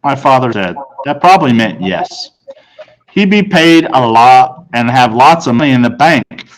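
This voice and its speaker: A man's voice: medium pitch, lively intonation, unhurried, poor audio. Man reading a storybook aloud. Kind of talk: read-aloud storybook narration.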